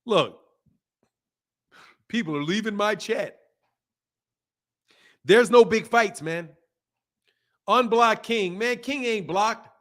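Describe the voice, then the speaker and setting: A man in his fifties talks on a live boxing stream with a fairly high voice for a man. He answers viewers loosely and hammers his points home by saying them again and again, breaking into laughs along the way. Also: takes it slowly, pauses often. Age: 50s. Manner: animated, talks back to chat, repeats himself for emphasis, laughs often. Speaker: boxing channel host. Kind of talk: casual live-stream chat reading comments.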